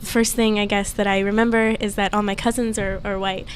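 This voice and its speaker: A young woman speaking on a student discussion panel at a middle pitch. She talks openly and often breaks into small laughs. There is a faint hiss of background noise. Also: little pitch movement, fairly quick. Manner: open, laughs often while talking.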